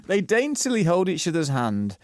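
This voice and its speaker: A man's voice: fairly high pitch, wildly swooping pitch, moderate pace, darkish tone, dry recording, studio quality. A man narrating a comic audio drama from a script in a mock-serious manner.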